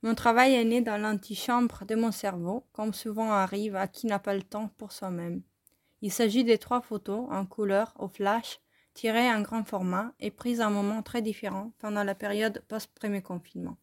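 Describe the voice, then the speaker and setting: Calm woman reading. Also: mid-range voice, moderate pace. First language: Italian. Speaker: photography student presenting her work. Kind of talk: reading a scripted self-interview.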